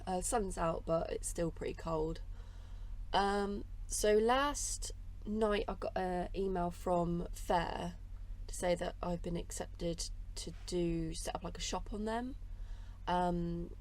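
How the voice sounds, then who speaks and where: A woman's voice: fairly low pitch, slow pace, darkish tone. A woman with a cold, sounding nasal, rambling casually through a vlog update about her small art business with lots of fillers. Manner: rambling, many fillers and hedges. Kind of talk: casual vlog chat to camera. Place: home studio.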